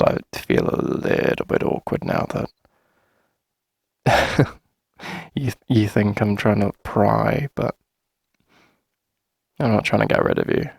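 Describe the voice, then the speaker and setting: A man playing a shy, friendly, flirty coffee shop owner in a gentle ASMR roleplay, speaking hesitantly in a husky, breathy voice. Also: lowish voice, no room sound, clean studio sound, heavily acted. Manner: hesitant, gentle, halting with soft chuckles. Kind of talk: soft conversational ASMR roleplay.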